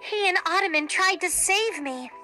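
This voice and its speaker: A brave, kind young storybook heroine, acted by a woman reader, high and bright in voice. She is earnest and impulsive, speaking in exclamations. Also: expressive, normal speed, faint music behind, exaggerated voice.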